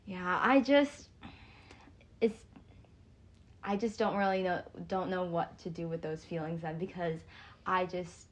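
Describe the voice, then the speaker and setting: A young woman in a casual chat, supportive and a bit hesitant, speaking in halting phrases. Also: fairly low pitch, steady tone.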